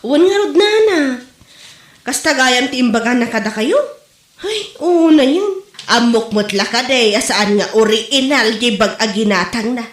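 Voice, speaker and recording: Woman doing gossipy indignant office lady, ilocano accent, really high voice, echoey room, comedy drama. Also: expressive, moderate pace, natural voice. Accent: Ilocano Filipino. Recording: roomy, echoey room sound.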